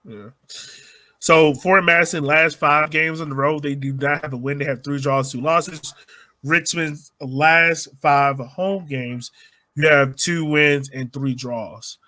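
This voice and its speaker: Male podcast host, informal and slangy, with a bright, fairly high voice for casual sports chat. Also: fairly even intonation, slow, no room sound.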